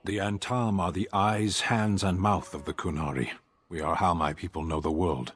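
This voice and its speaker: Deep, husky, stoic warrior. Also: male voice, normal speed. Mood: cold, deadpan. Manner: terse, formal, deadpan. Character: stern, stoic warrior of a foreign people, blunt. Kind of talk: fantasy video game dialogue. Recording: music behind the voice. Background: game music.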